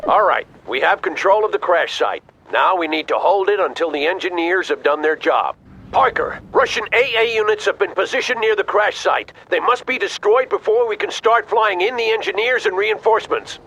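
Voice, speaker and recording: An actor playing a stern, decisive army officer giving clipped, authoritative orders in a video game. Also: male voice, high-pitched, animated, moderate pace, bright, forceful tone, breathy edge, full character voice. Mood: firm, commanding. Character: stern commanding male army officer, decisive battlefield leader. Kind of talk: video game radio-briefing acting.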